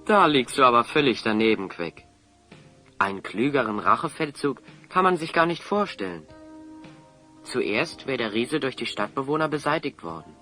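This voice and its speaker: A man's voice: medium pitch, animated, moderate pace, pushed and bright, faint music behind. A man acting a clever, confident hero in an audio drama, articulate and assertive as he reasons things out. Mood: triumphant, explanatory. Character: clever, confident male hero explaining a scheme.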